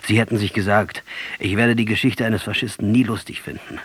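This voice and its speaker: Defiant revolutionary leader, lowish husky voice, radio drama, rhetorical and sardonic. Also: male voice, fairly even intonation, brisk, no room sound. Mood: scornful. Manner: didactic, sardonic, rhetorical storytelling. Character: proud, fervent, argumentative Argentine guerrilla commander facing death.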